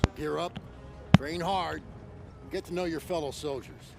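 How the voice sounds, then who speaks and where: A man's voice: a little high, fairly even intonation, normal speed, creaky, music in the background. A man playing an encouraging World War II army officer, briefing recruits firmly in a scripted game cutscene. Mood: firm, rallying.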